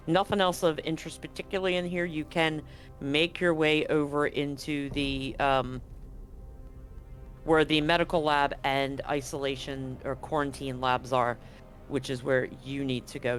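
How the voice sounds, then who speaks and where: A man's voice: a little high, a bit bright. A man narrating as game master of a tabletop roleplaying game, casual, with fillers.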